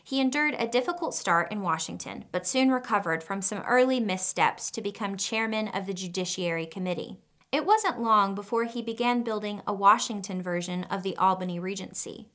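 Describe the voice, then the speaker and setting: A woman's voice: medium pitch, sharp tone. Young woman narrating a scripted lesson. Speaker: educational video presenter. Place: studio with a plain wooden backdrop.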